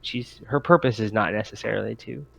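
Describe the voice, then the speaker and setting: A man narrating a tabletop roleplaying game as game master, in a halting, self-correcting way. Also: fairly low pitch, gravelly, fairly bright tone.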